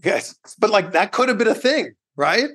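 A man's voice: high-pitched, big pitch swings, moderate pace, a bit bright. A man hosting an interview in a conversational style, putting questions and remarks to his guest. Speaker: interview host.

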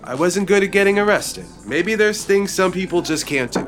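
An actress playing a grumpy, reluctant friend in an audio buddy comedy, exasperated, blunt and defensive. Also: female voice, mid-range voice, expressive, normal speed, soft background music, close to an everyday voice. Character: grumpy, reluctant, put-upon friend resisting a scheme.

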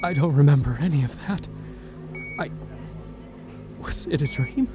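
An actor playing a dazed, lovesick space traveler who speaks haltingly and repeats himself in an audio drama. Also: male voice, fairly high pitch, music in the background, close to an everyday voice. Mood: dazed, tender.

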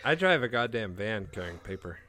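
A young man hosting a podcast, excitable and quick to swear in casual chat. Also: lowish voice. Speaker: podcast host.

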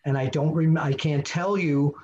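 A man with a slightly high voice tells stories on a podcast and laughs often. His audio sounds slightly dull and muffled. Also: steady tone, moderate pace, darkish tone, a little hiss or hum. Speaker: co-host, former magazine editor. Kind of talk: casual podcast chat.

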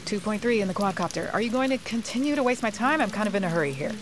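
A woman's voice: medium pitch, animated, rapid-fire, noisy background, natural voice. A woman playing an authoritative, curt female agent in an audio drama, speaking in a matter-of-fact, commanding way. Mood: impatient, commanding. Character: brisk, authoritative female federal agent on a secret mission. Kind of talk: audio fiction acting.

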